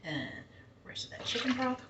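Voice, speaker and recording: A woman's voice: on the high side, sing-song, moderate pace, reverberant, very noisy. A woman with a Southern American accent, chatting casually and thinking aloud as she cooks in her home kitchen. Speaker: home cook. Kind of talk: casual cooking vlog chat.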